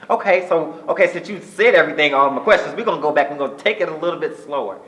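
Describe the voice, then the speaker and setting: A man's voice: on the high side, expressive, fast talker, fairly bright tone. A man hosting an interview, playful and affirming, prompting his guest with lots of encouraging backchannel. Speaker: interviewer host. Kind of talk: casual interview. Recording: echoey room.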